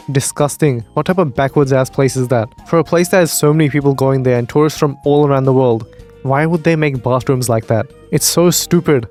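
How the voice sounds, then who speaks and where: Young male reaction host, blunt and opinionated, medium pitch, faint music bed. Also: darkish tone. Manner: blunt, opinionated, swears freely. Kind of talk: casual reaction commentary.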